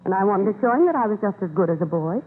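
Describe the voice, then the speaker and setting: A woman's voice: mid-range voice, animated, racing, slightly rough. A woman playing a judge's outspoken, defiant young daughter in a 1930s radio drama, earnest and impetuous. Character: spirited, indignant young lady, judge's clever defiant daughter. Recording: tinny, telephone-like 1930s radio recording.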